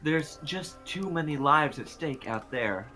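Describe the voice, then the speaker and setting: Frank anime student, voiced by a woman: low register, lively inflection, music underneath. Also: measured pace, exaggerated voice. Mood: reasonable. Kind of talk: amateur anime fandub acting.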